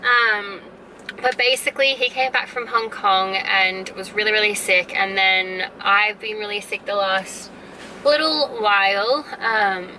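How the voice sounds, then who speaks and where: An Australian woman rambling casually to her camera, stuffy-nosed and coughing from a head cold, with plenty of "um" and "like". She sits back from the mic, and a clear layer of background noise runs under her voice. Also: medium pitch, fairly even intonation, unhurried, bright, forceful tone, distant mic, low-quality recording. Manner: rambling and chatty, full of "um" and "like". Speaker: vlogger. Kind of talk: casual catch-up chat to camera.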